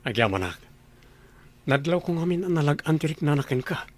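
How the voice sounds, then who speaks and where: An actor playing a calm, gentle ranch owner who gives instructions in a radio drama. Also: male voice, a little high, fairly quick.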